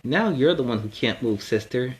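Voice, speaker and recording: A man's voice: mid-range voice, lively intonation, moderate pace, a little hiss or hum, lightly acted. Casting: male reader as a loyal, resolute superhero warrior woman, storybook dialogue in a medium male register. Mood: smug.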